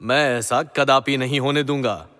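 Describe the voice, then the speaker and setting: A man's voice: mid-range voice, fairly even intonation, normal speed, pushed and bright, close to an everyday voice. A man playing an arrogant, jealous king of the gods in a mythological audio drama, pompous and scornful. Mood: menacing, defiant. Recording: long hall reverb.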